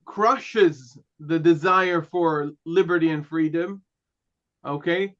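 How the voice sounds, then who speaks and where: A man teaching a class, a native Hebrew speaker who lectures in a relaxed way, joking and often checking in with "okay" and "right". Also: high voice, lo-fi sound.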